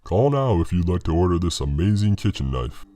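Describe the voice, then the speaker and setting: A man voicing a masked killer as a falsely cheerful infomercial salesman, with a deep, distorted voice. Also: big pitch swings, fairly quick, warm tone. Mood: cheerful sales pitch. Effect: distorted TV voice filter. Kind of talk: infomercial parody in horror narration. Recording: music behind.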